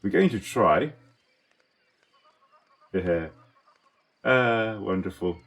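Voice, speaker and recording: A man's voice: low voice, lively intonation, extremely slow, vocal fry, a bit bright. A man from South Africa with a South African accent and Afrikaans as his first language, giving casual, enthusiastic gameplay commentary as a let's play host. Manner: enthusiastic, chatty, talks to the animals on screen.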